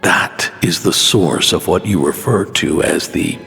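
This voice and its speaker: A man playing a gentle, wise scholar in a video game, speaking in a measured, solemn, reflective way with a low, creaky voice. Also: exaggerated voice. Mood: gentle, explanatory. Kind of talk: scripted dramatic game monologue. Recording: long hall reverb over music.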